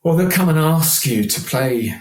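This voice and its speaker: A man's voice: on the high side, expressive, moderate pace, slight background noise. A British man aged sixty chatting casually as an interview guest, rambling and self-deprecating. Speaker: podcast guest, working function singer. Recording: roomy, echoing sound.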